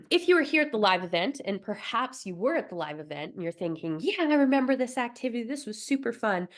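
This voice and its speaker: A woman's voice: on the high side, very animated, brisk. A young woman from Alberta presenting a recorded wellbeing workshop, coaching listeners in an encouraging way with light laughs and fillers. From Alberta, Canada.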